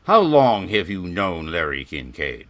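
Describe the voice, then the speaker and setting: A man's voice: low voice, big pitch swings, a little slow, a bit bright, full character voice. A man playing a formal, cruel major interrogating prisoners in a radio western, stern and commanding. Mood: stern, cold.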